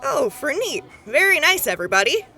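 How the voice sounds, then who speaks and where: A woman's voice: high voice, big pitch swings, brisk, sharp tone, exaggerated voice. A woman voicing a cheerful, gossipy clerk going over paperwork in an audio drama. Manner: bubbly and gossipy.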